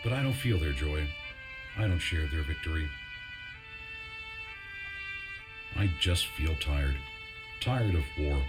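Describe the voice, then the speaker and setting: An actor playing a weary, grieving army major who solemnly delivers a measured farewell letter in a military video game. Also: male voice, rumbling low, expressive. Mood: weary, empty. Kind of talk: dramatic scripted monologue in a military video game. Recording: music under the voice.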